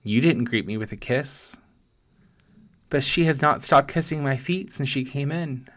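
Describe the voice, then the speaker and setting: A man speaks very slowly in a medium-pitched voice, as a pastor leading a guided meditation. His delivery is gentle and deliberate, with pauses between short phrases as he narrates scripture as an imagined scene.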